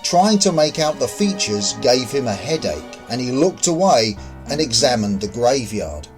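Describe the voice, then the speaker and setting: Man narrating fiction with animated pitch. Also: unhurried, soft background music. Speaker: author narrating own story. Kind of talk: scripted story narration.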